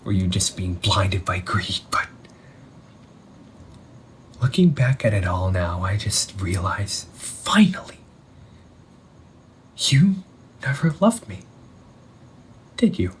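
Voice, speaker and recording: A vengeful older brother back from the dead , acted by a man in an audio roleplay, over faint ambient noise. He talks slowly and tauntingly, swinging widely in pitch, with a wounded, menacing affection and theatrical pauses. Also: expressive. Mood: bitter, furious. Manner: theatrical, taunting, with drawn-out pauses and whispered words. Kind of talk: scripted audio roleplay monologue addressed to the listener.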